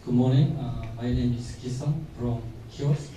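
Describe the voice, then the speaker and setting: A man with a Korean accent, a government delegate, introducing himself at a workshop with many filler pauses. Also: medium pitch, warm tone, a little hiss or hum. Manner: halting, frequent filler pauses. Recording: echoey conference hall, distant mic.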